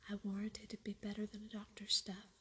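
A woman whispering the lines of a kind, calm Yorkshire farm boy in a storybook reading, keeping a soft Yorkshire dialect. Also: mid-range voice, a little fast, husky. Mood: gentle. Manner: whispered, gentle.

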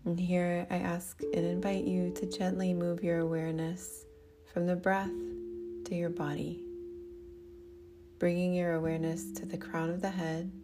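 A woman speaking as a meditation teacher, gently guiding a practice in a soothing, unhurried way. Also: lowish voice, little pitch movement. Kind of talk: guided meditation.

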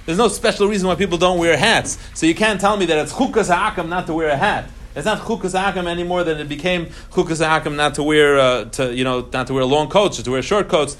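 A man's voice: on the high side, fast, few pauses, fairly bright tone. A man teaching an informal class on religious law, explaining emphatically, repeating key phrases and mixing Hebrew terms into his English. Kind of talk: informal lecture to students.

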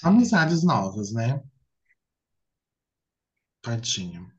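A man's voice: mid-range voice, very animated, extremely slow, lo-fi sound, slight background noise. A Brazilian man chatting casually as a podcast co-host, with a campy, playful, exaggerated and slangy way of talking. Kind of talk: casual comedic chat, reacting to dating stories.

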